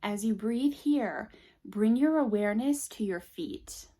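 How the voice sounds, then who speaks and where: Slow-paced woman guiding meditation. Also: a little high, lively intonation. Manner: soothing, gentle instructions in short phrases with long pauses. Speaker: yoga teacher. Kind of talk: guided meditation.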